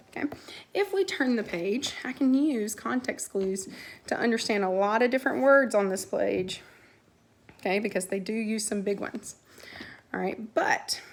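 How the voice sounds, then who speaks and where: A woman teaching young pupils through a read-aloud lesson, posing questions and answering them in an instructional way, with a creaky voice. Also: fairly high pitch, a little hiss or hum. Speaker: elementary school teacher.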